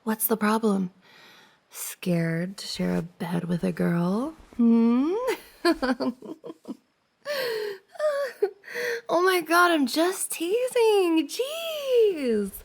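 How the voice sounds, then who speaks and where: A woman's voice: sits high, animated, drawn-out words, vocal fry, heavily acted. A woman playing a playful, teasing young woman, a best friend's older sister, in a giggly, casual ASMR roleplay. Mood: playful reassuring. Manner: giggly, teasing banter with frequent soft laughs.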